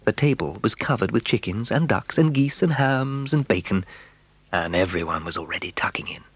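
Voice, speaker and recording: A man's voice: fairly low pitch, expressive, normal speed, slightly rough, dry recording. A man narrating a children's story from a script.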